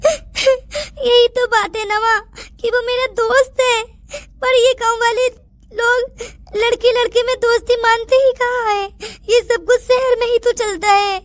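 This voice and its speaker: A woman voicing a tearful, hurt young village daughter in a cartoon, plaintive and halting, with music behind her. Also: very high-pitched, steady tone, dry recording. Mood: tearful, upset. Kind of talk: Hindi cartoon moral story dialogue.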